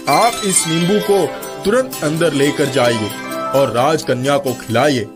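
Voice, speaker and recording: A man voicing a proud, wily king in a cartoon story, with a stately, commanding manner. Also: a little high. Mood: imperious. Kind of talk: Hindi cartoon story character dubbing.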